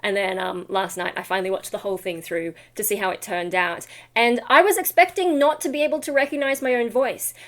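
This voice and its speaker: A woman's voice: medium pitch, fairly quick, hardly any pauses, a bit bright. A woman chatting casually for a vlog in a tired, rambly, self-deprecating way with trailing asides. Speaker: vlogger and metal vocalist.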